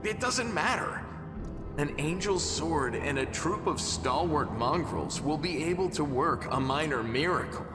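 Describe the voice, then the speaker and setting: A man's voice: a little high, lively intonation, measured pace. An actor playing a cocky, eager young tribesman in a video game, flippant and sardonic in manner. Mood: determined. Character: wry young male mongrel underground tribesman, cocky and eager. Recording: echoey hall reverb. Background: background music.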